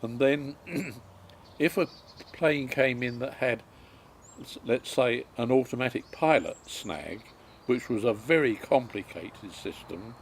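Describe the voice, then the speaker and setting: A man, recalling his life story in a halting way with many pauses and ums. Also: mid-range voice, lively intonation, creaky, slight background noise. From England. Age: 90s. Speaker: interviewee.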